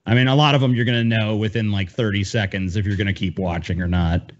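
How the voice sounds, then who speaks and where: A man's voice: on the low side, steady tone, quick pace, muffled recording. A man co-hosting a podcast in casual chat, blunt and informal, with some swearing. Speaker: podcast co-host.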